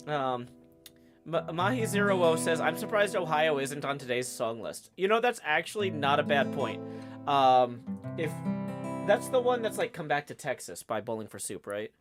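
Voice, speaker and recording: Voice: medium pitch, very animated, normal speed. A livestream host chatting casually and reading out viewer tips, riffing and rambling in a room shared with a guest. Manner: chatty, rambling, riffs on chat messages. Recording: guitar music playing behind. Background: guitar music.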